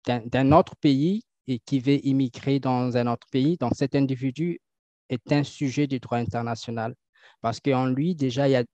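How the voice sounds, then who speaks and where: A man answering interview questions and explaining things, often pausing with fillers and restarting his sentences. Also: mid-range voice, warm tone, no echo. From French-speaking country. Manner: hesitant, many fillers and restarts. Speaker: guest. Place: Toronto. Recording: dull lo-fi dry sound.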